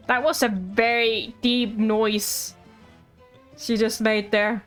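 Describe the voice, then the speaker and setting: A woman streams her reactions to a video game in a fairly high voice. She rambles casually, teases and repeats words as she goes, with faint music in the background. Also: level tone, slow pace.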